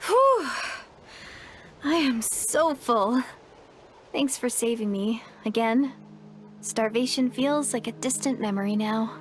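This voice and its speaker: An actress playing an anxious, apologetic young woman in a video game, speaking in an earnest, hesitant and expressive way. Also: a little high, takes it slowly, pauses often, slight background noise. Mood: relaxed, grateful.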